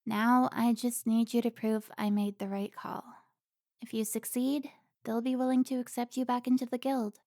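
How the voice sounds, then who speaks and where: Woman acting as a commanding, high-voiced slayer, slightly gravelly. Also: little pitch movement, moderate pace, darkish tone, no room sound, studio quality, close to an everyday voice. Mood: stern. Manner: commanding, scolding. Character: stern, protective female vampire slayer guild member. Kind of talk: cinematic audio roleplay acting.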